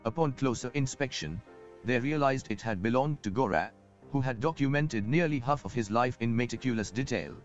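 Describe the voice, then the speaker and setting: Game merchant, male, acted: polished, self-assured, archaic and eloquent speech, mid-pitched, faint music underneath. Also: brisk, natural voice. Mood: composed, businesslike. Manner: formal, eloquent, archaic phrasing. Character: courtly, self-assured wealthy merchant, risen from poverty. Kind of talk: scripted video game dialogue. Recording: muffled game audio with background music.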